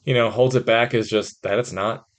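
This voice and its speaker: A man with an average-pitched voice speaks as a podcast co-host. He talks about films in a loose, conversational style and swears casually. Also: steady tone, normal speed. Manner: informal, swearing. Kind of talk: casual chat about movies.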